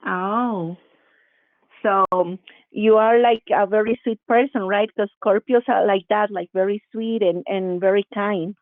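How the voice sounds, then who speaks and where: A woman's voice: medium pitch, fairly even intonation, unhurried, no echo. A woman with a Brazilian Portuguese accent, a scam caller in a casual phone chat who keeps calling the listener honey. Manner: sweet-talking, calls him honey. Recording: tinny dry phone line.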